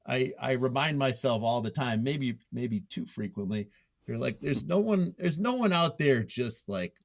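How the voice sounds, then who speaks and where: A man giving casual, reflective interview answers, thinking aloud in a halting way and circling back to repeat his phrases. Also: medium pitch, lively intonation, gravelly, no room sound, clean studio sound. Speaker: podcast guest, nonfiction writer.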